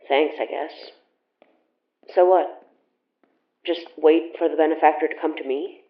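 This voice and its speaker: A woman's voice: deep voice, fairly even intonation, slow. An actress playing a weary, defiant young woman with magical senses in an audio drama, speaking casually and bluntly with a wry edge. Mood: wary, reluctant. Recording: muffled phone-like sound, roomy echo.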